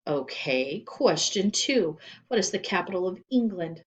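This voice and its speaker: A woman voicing an irritable, menacing female schoolteacher with a demanding, sharp manner in a children's storybook. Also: fairly low pitch, animated, measured pace. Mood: menacing quizzing. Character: irritable, menacing female schoolteacher who threatens her pupils. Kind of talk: children's storybook read aloud.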